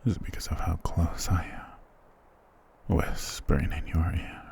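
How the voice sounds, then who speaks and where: A man playing a doting, possessive immortal vampire lord in an intimate ASMR romance roleplay, husky, tender and softly commanding. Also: flat delivery, slow, a little hiss or hum, exaggerated voice. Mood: teasing, intimate. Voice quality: breathy, husky low whispering.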